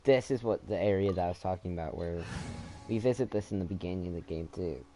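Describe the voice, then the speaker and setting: A man's voice: low voice, fast, dark tone, faint music behind. A man giving casual, rambling gameplay commentary as he plays, in an informal way. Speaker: gamer, let's player.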